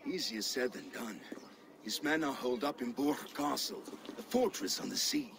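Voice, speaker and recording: An actor playing a fiery, brooding Danish Viking warrior in a video game cutscene. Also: male voice, medium pitch, expressive, normal speed, vocal fry, background noise. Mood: downcast, grim.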